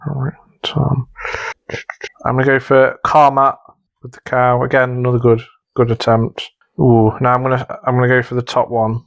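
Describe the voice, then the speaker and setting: A man's voice: medium pitch, monotone, moderate pace, vocal fry. A man co-hosting a comedy podcast, chatting casually and judging entries in a hesitant, rambling way. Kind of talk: casual comedy panel chat.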